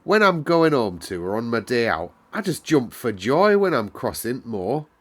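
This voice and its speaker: Man voicing a bubbly Yorkshire housemaid, lilting high. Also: big pitch swings, normal speed, fairly bright tone. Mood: chatty, proud. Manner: exuberant, broad dialect. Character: cheerful chatty young Yorkshire housemaid woman. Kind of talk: children's storybook read-aloud.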